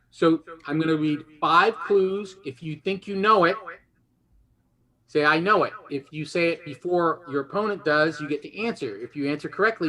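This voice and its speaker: A man hosts a casual game show in a high-pitched, bright voice. He chats his way through the explanations, often halting and rewording himself. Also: low-quality recording.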